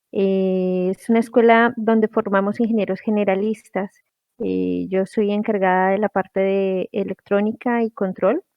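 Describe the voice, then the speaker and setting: A woman's voice: medium pitch, no echo. A woman with a Colombian accent speaking as a podcast guest in a semi-formal, explanatory way, on a dull lo-fi recording. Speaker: podcast guest, engineering lecturer and researcher. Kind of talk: semi-formal self-introduction. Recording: dull, lo-fi online-call sound.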